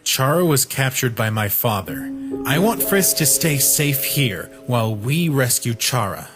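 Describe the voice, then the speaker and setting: A haughty young goat prince voiced by a man for a comic fan dub. He speaks slowly and resolutely, with animated intonation and earnest authority. Music is clear behind him. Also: mid-range voice, lightly acted. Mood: protective, firm. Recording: voice over background music.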